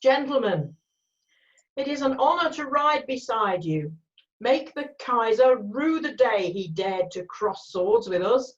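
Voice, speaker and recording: A resolute, formal cavalry officer read by a woman in an amateur drama. The voice is fairly high and unhurried, with measured military phrasing, on slightly muffled audio. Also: animated. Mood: solemn, rousing. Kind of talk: amateur screenplay read-through.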